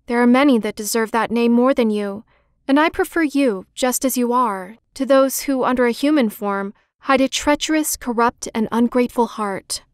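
Storybook heroine, young woman, earnest and timid, read by a woman, fairly high, dry sound. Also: moderate pace, no echo, natural voice.

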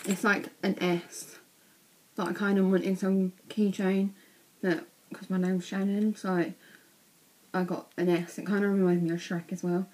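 A teenage girl, chatting casually in a vlog from her bedroom, a bit croaky from being ill, with lots of ums. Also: medium pitch, level tone, unhurried, darkish tone. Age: teens. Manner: chatty, rambling with many ums. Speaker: vlogger. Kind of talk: casual vlog showing bag contents. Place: small bedroom indoors.

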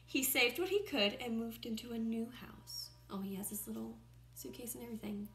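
Young teacher lady reading a kids book out loud, expressive w little asides and questions, bright voice, echoey room. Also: fairly high pitch. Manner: expressive, questioning, pausing to comment. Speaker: first-grade teacher reading aloud. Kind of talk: picture-book read-aloud with asides to children. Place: small room at home, indoors by a window. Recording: roomy, echoing home recording.

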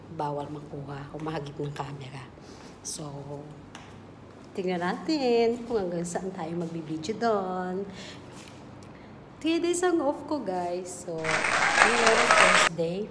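A woman's voice: fairly low pitch, animated, a little slow, a crowd in the background, background noise. A young woman with a Filipino accent vlogging on her phone, giggly and teasing, mixing languages. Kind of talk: casual vlog chat. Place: indoor home with stairs, later a mall. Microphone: phone at arm's length.

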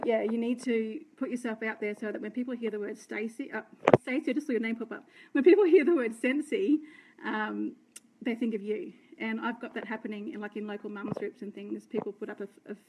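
A chatty Australian woman in her thirties talks straight into her phone camera indoors, in a slightly high voice. Her voice is a little croaky, and she rambles in a warm, self-deprecating way, stopping to greet viewers. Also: steady tone, brisk, background noise. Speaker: direct sales team leader. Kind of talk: unscripted live video talk. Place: indoor room by curtains. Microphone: phone held close, front camera.

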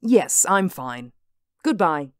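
A woman's voice: on the low side, big pitch swings, slow pace, dry recording. A woman playing a worried, hesitant suburban mother in a radio drama. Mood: subdued. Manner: hesitant, anxious. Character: uneasy, worried suburban mother, trying to stay firm.

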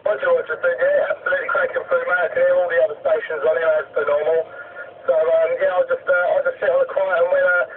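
A man's voice: a little high, steady tone, fast talker. A man chatting casually on a CB radio in a matey, informal way. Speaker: CB radio operator. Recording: very noisy, distant, tinny CB radio.

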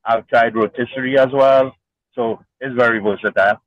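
A man from Trinidad and Tobago, a market vendor, explaining his products in a relaxed, plain way at an outdoor stall. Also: medium pitch, moderate pace, dry recording, lo-fi sound. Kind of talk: casual interview over a video link.

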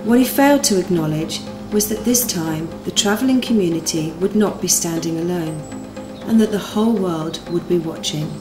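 A woman's voice: fairly low pitch, normal speed. A woman reading scripted narration for a documentary. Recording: music behind the voice. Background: music.

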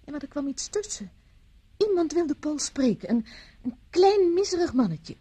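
A woman's voice: sits high, very animated, moderate pace, mellow. An actress from the Netherlands playing a charming, teasing young society woman in a radio drama. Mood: playful. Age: young adult. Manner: teasing, polite, airy.

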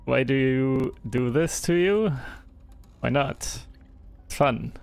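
A man's voice: medium pitch, slow, gravelly, darkish tone, clear, resonant tone, soft background music. A young man with a German accent chatting casually through a live game stream, reading and answering viewer chat with frequent hesitations.

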